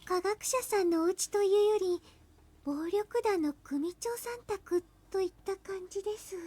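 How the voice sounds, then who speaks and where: A woman voicing a polite, excitable young woman in an anime-style game, speaking in an animated way. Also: very high-pitched, fairly quick. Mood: polite wonder.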